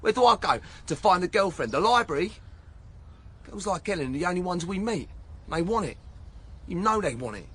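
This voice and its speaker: An actor playing a cocky, defiant young English footballer under police questioning, brash, slangy and argumentative, in a naturalistic radio drama. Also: male voice, high-pitched, very animated, a little fast, long pauses, fairly bright tone, full character voice. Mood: bitter, defiant. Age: young adult. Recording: clearly noisy background ambience.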